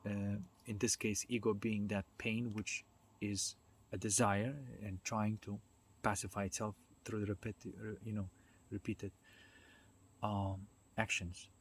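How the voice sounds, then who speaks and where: A man giving an informal, unscripted talk as a spiritual self-development speaker, halting as he searches for words and often checking in with the listener. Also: lowish voice, flat delivery, husky. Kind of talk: informal unscripted explanatory monologue. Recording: noticeable background noise.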